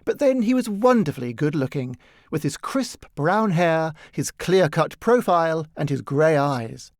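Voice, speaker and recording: Guy reading a short story audiobook, slightly high voice, lots of expression, really dry close sound. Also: animated, a little slow, no room sound. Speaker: audiobook narrator. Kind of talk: scripted literary narration.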